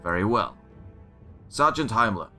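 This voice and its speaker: A very low-voiced, grim captain of a fantasy militia, acted by a man. He is wounded, bitter and stubborn. His speech is terse and military, with a forceful, bright edge. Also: very animated, a little slow, faint music behind, exaggerated voice. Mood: firm. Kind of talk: fantasy audio drama acting.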